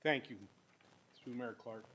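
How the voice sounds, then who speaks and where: A man's voice: steady tone, a little slow, sharp tone. An older man presenting an application at a council hearing, speaking plainly into a podium microphone. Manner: plainspoken, booming at times. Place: council chamber.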